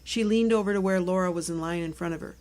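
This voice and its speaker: A woman's voice: on the low side, fast talker, a little mellow. Woman narrating a children's storybook. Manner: clear simple phrasing for young children. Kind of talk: scripted children's read-aloud narration.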